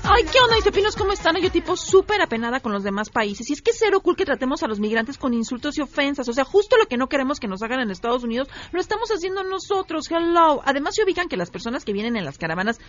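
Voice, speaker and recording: A woman's voice: high voice, very animated, quick pace, few pauses, dull audio. A woman playing a posh, self-absorbed young woman from Mexico City, chattering in a valley-girl drawl with English catchwords and Mexico City slang. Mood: gossipy. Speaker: comic character on a radio show.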